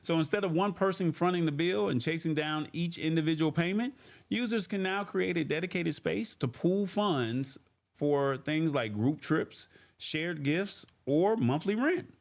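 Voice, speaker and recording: A man's voice: fairly high pitch, measured pace, gravelly. A man hosting a tech livestream, talking informally and folksily to his viewers in a casual, chatty way. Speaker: host of a tech livestream show. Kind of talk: casual livestream chat, reading comments and news.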